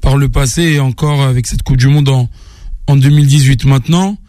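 A man giving calm, measured sports analysis on radio, pausing often. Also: mid-range voice, no room sound, studio quality. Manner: calm, halting with fillers.

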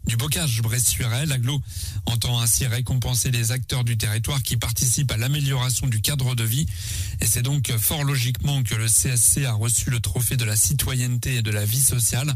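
A man's voice: mid-range voice, fairly even intonation, brisk, slightly rough, dry recording. Casting: male newsreader for regional radio, dry close studio sound, light music bed. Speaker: radio news presenter. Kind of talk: regional radio news reading.

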